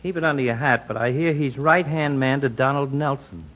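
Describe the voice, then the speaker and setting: An actor playing a gossipy know-it-all small-town barber in a 1940s radio comedy, folksy and confiding. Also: male voice, mid-range voice, brisk, close to an everyday voice. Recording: dull, band-limited 1940s radio recording.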